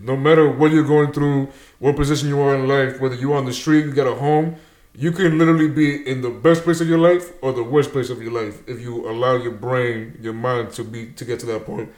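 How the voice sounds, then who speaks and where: Fast-talking young man, echoey room. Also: mid-range voice. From Dominican and Peruvian heritage, New Jersey. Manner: energetic, slangy, mixes Spanish words. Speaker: guest, show creator. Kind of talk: casual interview chat.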